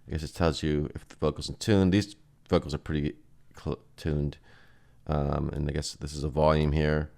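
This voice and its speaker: Very low-voiced man, casual demo. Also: level tone, moderate pace, gravelly, a little mellow. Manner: loose, rambling, thinking aloud. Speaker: plugin reviewer and host. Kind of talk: casual software demo commentary.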